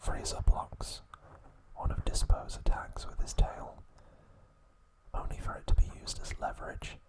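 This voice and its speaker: Man whispering, very slow, unvoiced, soft ASMR narration, calm. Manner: calm storytelling with occasional fillers and self-corrections. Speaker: ASMR channel host.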